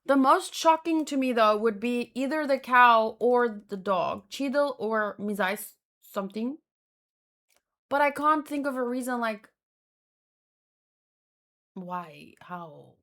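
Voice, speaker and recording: A woman with a fairly high voice hosts a casual read-along commentary. She speaks slowly and muses out loud. Her delivery is hesitant, with words often repeated as she thinks. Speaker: host of a manga read-along.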